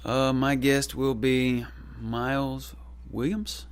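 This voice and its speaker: A man's voice: mid-range voice, flat delivery, takes it slowly, clean tone. A man with a Texas accent, a musician guest on a radio talk show, talking in a laid-back, easygoing way and laughing often. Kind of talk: casual radio interview. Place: radio studio.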